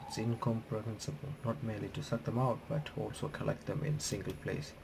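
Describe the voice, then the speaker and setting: A man doing a casual visual novel playthrough, reading the on-screen text aloud in a halting, stumbling way and reacting as he goes. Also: on the low side, flat delivery, gravelly, noisy background. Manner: halting, stumbling reader who rereads phrases.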